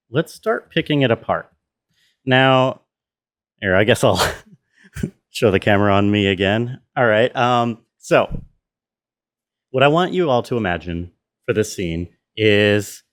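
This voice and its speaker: A man with a fairly low voice gives a relaxed, informal walkthrough as a sound designer. He thinks aloud in a halting way and checks in with whoever is listening. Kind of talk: casual tutorial talk, thinking aloud.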